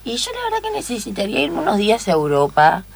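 A woman's voice: mid-range voice, wildly swooping pitch, quick pace, creaky. A woman playing an affected, self-absorbed, snappish tipsy mother in improvised radio comedy, with an Argentine accent. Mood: affected, impatient. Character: flighty, selfish, tipsy well-off mother. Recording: faint background noise.

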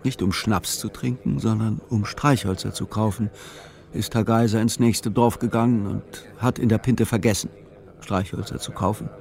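A man narrating a literary audio drama in measured, clipped, matter-of-fact phrasing. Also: fairly low pitch, fairly even intonation, gravelly, a little mellow. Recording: music behind the voice. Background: music.

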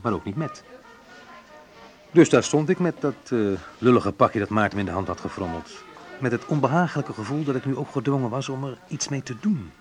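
A man's voice: fairly low pitch, husky. An actor playing a wry, reflective man who narrates memories of his youth in an intimate radio drama, confiding and a little hesitant. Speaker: narrator and main character of a radio play.

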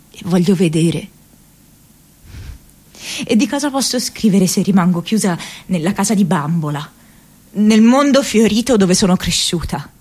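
An actress playing an idealistic, restless young woman in a radio theatre monologue, speaking expressively and haltingly, like an affectionately rebellious daughter. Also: lowish voice, breathy edge. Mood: pleading, resolute. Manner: halting, expressive, with broken repetitions.

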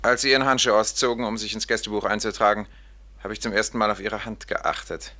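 A man's voice: on the low side, flat delivery, fast talker, bright, forceful tone. A man playing a formally polite, insistent doctor who runs an institution, in a 1950s radio drama. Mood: suspicious. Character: courteous, insistent, suspicious doctor running an institution. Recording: noisy old 1950s radio recording.

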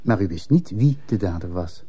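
Dark-voiced, stern police commissioner. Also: male voice, sits low, animated, husky. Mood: formal, probing. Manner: formal, measured interrogation. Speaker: actor in a radio play. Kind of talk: Dutch-language radio drama acting.

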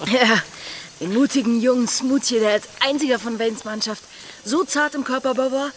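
Woman acting higher-voiced daring young pirate. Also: moderate pace, a little hiss or hum, natural voice. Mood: excited, scheming. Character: daring young female pirate disguised as a lad. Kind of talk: German radio play acting. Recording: music behind the voice.

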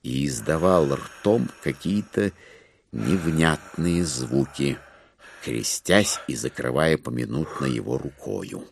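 A man narrating a dramatized classic satirical novel as a wry storyteller, with expressive, deliberate phrasing. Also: rumbling low, creaky, breathy edge, no room sound, full character voice. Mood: wry, relishing.

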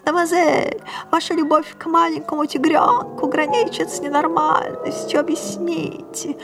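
A shrill, prying newspaper reporter. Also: female voice, fairly even intonation, normal speed, loud music behind the voice. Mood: mocking, prying. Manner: mocking, insistent, declamatory.

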